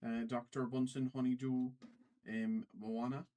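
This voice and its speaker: A man with a medium-pitched voice hosts a casual trading card livestream in a put-on Irish accent. He calls out items in a halting, stop-start way and often repeats his phrases. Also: level tone, dark tone. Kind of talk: casual livestream commentary, calling out cards.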